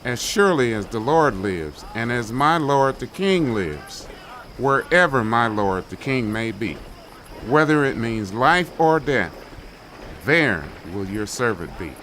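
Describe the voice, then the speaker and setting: An actor playing a fiercely loyal soldier in an audio scripture drama, speaking with earnest solemnity. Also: male voice, medium pitch, sing-song, measured pace, a bit bright, slight background noise, poor audio. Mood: devoted, resolute. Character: fiercely loyal foreign soldier pledging devotion.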